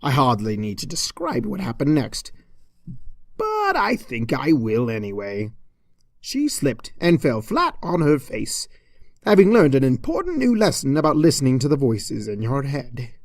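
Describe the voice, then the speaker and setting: Comic story narrator: dramatic scripted delivery, highly animated, slow-paced, dry sound. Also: no room sound. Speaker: narrator, fan fiction reader.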